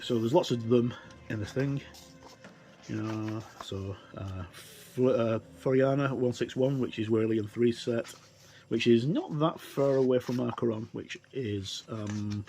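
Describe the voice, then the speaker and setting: A middle-aged or older man giving a casual, unscripted look through a book as a tabletop game reviewer, in a rambling, hesitant way with many pauses. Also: mid-range voice, a little mellow. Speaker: reviewer and presenter of tabletop games. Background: ambient music with wind sounds.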